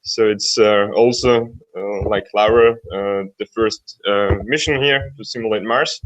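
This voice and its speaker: A man from Upper Austria, a flight director, introducing himself in a relaxed, hesitant way with many fillers. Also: lowish voice, a little slow, slight background noise, poor audio.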